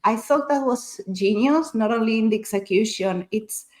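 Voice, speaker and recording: A woman's voice: on the high side, normal speed, a little mellow. A woman whose first language is Spanish, talking casually as a panelist in a book discussion, explaining her thoughts in a considered way.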